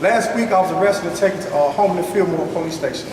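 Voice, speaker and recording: A man's voice: sits high, fast. A man giving insistent, plain-spoken personal testimony as a community speaker at a press conference. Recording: very echoey hall, distant mic, noisy.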